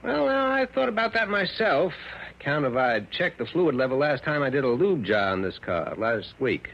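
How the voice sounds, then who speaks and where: An earnest country mechanic in a 1960s radio play. His husky voice moves up and down freely in a folksy, assured way. The old recording sounds muffled. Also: on the high side, sing-song, normal speed, full character voice. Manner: folksy, sure of himself. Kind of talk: 1960s radio drama acting.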